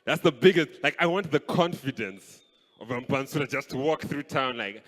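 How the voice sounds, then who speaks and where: A young man from Kenya doing live stand-up comedy on a stage mic, playful and animated. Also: medium pitch, normal speed. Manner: animated, playful, switches voices. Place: stage in a large hall. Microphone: handheld stage mic. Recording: live hall with long reverb.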